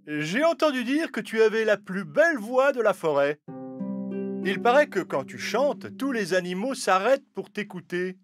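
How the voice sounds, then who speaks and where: Sly cartoon fox, high voice, swooping intonation, wheedling, theatrical, drawn-out phrasing. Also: male voice, sing-song, soft background music. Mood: flattering. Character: sly, cunning male fox, smooth-talking flatterer. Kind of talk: animated fable character acting.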